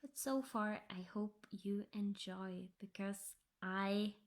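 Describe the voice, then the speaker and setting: Slow, soft-spoken Norwegian woman. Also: mid-range voice. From Norway. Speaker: cross-stitch vlogger. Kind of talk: casual tutorial chat.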